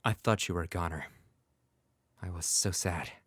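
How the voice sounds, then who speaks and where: A man's voice: sits low, normal speed, a bit bright, breathy edge, no room sound, studio quality. A man playing a brooding, haunted young warrior in a fan dub, earnest and intense. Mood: subdued, brooding. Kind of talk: dramatic fan dub acting.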